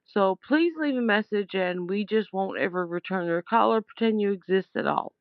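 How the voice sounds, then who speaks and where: A woman's voice: medium pitch, expressive, normal speed, vocal fry, no echo. A woman leaving an informal complaint voicemail over the phone, speaking in a blunt, aggrieved and emphatic way, with dull lo-fi sound. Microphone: phone call.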